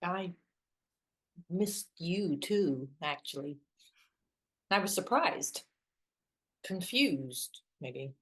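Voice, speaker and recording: An actress playing an awkward, literal-minded young woman in a play reading, a hopeless romantic with a hesitant, wry deadpan manner. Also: on the low side, very slow, long pauses. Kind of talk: two-hander stage play reading.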